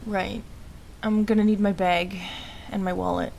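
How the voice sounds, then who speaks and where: A woman's voice: mid-range voice, level tone, unhurried, gravelly, darkish tone, a little hiss or hum. A woman playing an anxious, self-doubting young woman in an audio roleplay, hesitant and emotional, defiant when pushed. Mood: resigned. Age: young adult.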